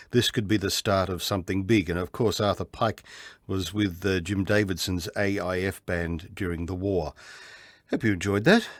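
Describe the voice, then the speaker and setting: A man's voice: lowish voice, no room sound, studio quality. A man presenting a music programme as a radio host.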